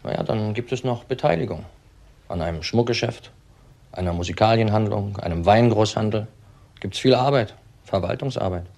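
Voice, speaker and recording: An actor playing an agitated, evasive husband in his thirties in a crime drama, speaking haltingly and repeating words. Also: lowish voice, darkish tone, close to an everyday voice. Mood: evasive, subdued. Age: 30s. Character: young widower husband, agitated, evasive under questioning. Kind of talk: German crime drama acting.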